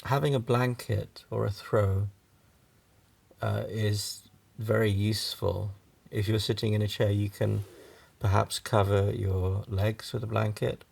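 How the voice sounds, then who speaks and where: Slow, low-voiced man guiding meditation. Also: level tone, vocal fry, a little mellow. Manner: unhurried, halting phrases with long pauses. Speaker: yoga nidra meditation guide. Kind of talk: guided relaxation meditation.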